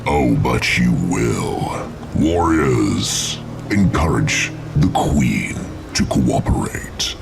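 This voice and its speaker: A man voicing a cruel, proud orc warlock commander in a gravelly, menacing growl over music in a fantasy game. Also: very deep voice, very animated, extremely slow, heavily acted. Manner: menacing, domineering. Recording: loud music under the voice. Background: loud dramatic music.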